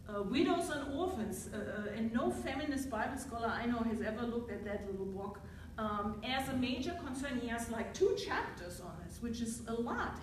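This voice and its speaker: A woman's voice: on the high side, a little hiss or hum. A woman with a German accent, a native German speaker, talking as an academic panelist at a roundtable, earnest and lecturing as she thinks aloud and corrects herself. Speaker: academic panelist, biblical scholar. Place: university seminar room. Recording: very echoey room from far off.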